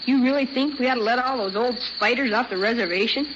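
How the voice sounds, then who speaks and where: A curious, brash little boy, played by a woman talks in a high, plain-spoken way. It is 1970s radio drama with a dull sound and noticeable noise. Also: quick pace, lightly acted. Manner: blunt, childlike, folksy grammar. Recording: muffled, noisy 1970s radio recording.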